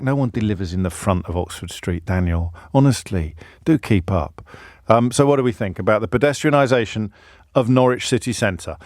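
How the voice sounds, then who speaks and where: A man's voice: medium pitch, darkish tone, no echo. A man hosting a radio phone-in from a studio, talking in a dry, wry, sardonic way. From outside London. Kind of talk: talk radio monologue and call-in. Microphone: studio microphone.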